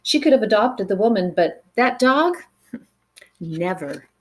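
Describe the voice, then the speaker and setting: A woman reading a picture book aloud to children in an expressive way, with dramatic pauses and chatty asides to the listeners. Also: mid-range voice. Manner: animated, dramatic pauses, engages young listeners with questions.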